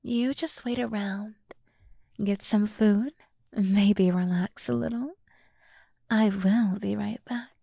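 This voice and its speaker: Woman playing a boastful demon woman, ASMR roleplay, soft and mellow, whispery, seductive, close dry sound. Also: medium pitch, steady tone, slow, dry recording. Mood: coaxing, scheming. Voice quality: breathy, soft, often dropping to a whisper.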